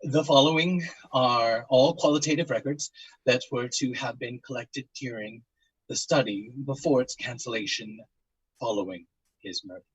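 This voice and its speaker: A man playing a troubled research doctor in an audio drama. His husky, mid-pitched voice is formal yet hesitant, halting with regret, in a dry, close recording. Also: dry recording, lo-fi sound, lightly acted. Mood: regretful, uneasy.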